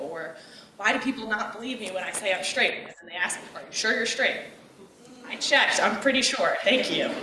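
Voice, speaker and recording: A young woman giving a personal speech, speaking in a loud, direct and outgoing way. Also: medium pitch, distant mic, slight background noise. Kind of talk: personal speech on gender roles. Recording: echoey room, voice from afar.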